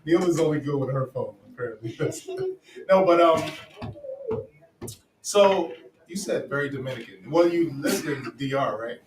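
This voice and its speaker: A man hosting a casual radio chat, animated, coaching the guest along and quick to laugh. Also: fairly high pitch. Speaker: radio host. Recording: echoey room, mic at a distance, audible noise.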